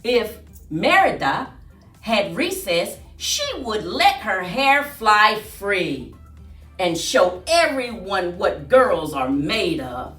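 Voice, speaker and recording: A woman reading aloud to children as a storyteller, with an expressive, singsong delivery. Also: medium pitch, slow. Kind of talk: read-aloud storytime with rhyming chant. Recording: roomy echo, distant mic, faint music.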